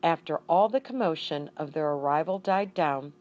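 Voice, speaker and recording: A woman reading a children's story aloud in an expressive, deliberate manner with emphatic stresses. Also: low-pitched. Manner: deliberate, with emphatic repeated words. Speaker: audiobook reader.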